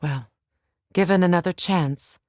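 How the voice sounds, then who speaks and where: An actress playing a solemn, regal Norse goddess warrior in a video game, speaking in a measured, grave, formal manner. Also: female voice, lowish voice, a little mellow, no echo, studio quality, natural voice. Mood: solemn, reflective. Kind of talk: dramatic video game voice acting.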